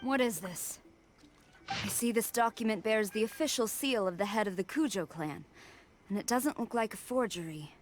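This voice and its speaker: A woman's voice: medium pitch, moderate pace, natural voice. A woman voicing a stern, proud young female general in an anime game, speaking in a formal, earnest and clipped manner. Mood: troubled disbelief. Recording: music behind the voice.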